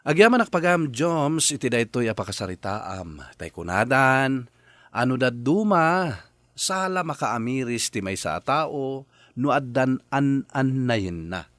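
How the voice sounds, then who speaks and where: A man with a Filipino accent hosting a radio drama programme, giving advice and credits in a measured, emphatic way. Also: mid-range voice, lively intonation, fairly bright tone, dry recording. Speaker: radio drama host and letter advisor.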